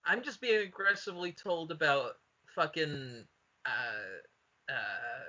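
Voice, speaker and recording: A man hosting a casual comedic podcast, riffing excitably and swearing freely. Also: on the high side, slow, highs cut off. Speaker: podcast host.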